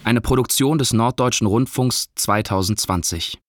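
A man's voice: mid-range voice, moderate pace, slight background noise. A man reading scripted radio play credits as an announcer. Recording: loud music behind.

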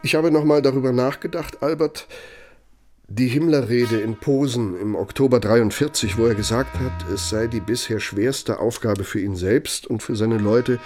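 An actor playing a cynical, bitter middle-aged architect and old Nazi functionary in a German radio play, gravelly, with a pointed, self-justifying delivery. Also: male voice, mid-range voice, normal speed, darkish tone, soft background music, natural voice. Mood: grave, confronting. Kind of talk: German radio play acting, dramatic monologue.

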